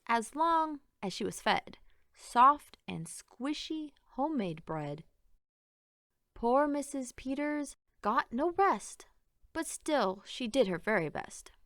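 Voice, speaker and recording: A woman reading a rhyming children's story aloud in an expressive, sing-song way. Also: fairly high pitch, no echo, studio quality. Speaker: picture book reader.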